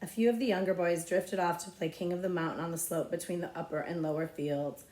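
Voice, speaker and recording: A woman narrating a children's novel aloud as a librarian would, with expressive storytelling. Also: on the low side, fairly quick. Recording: echoey, roomy sound.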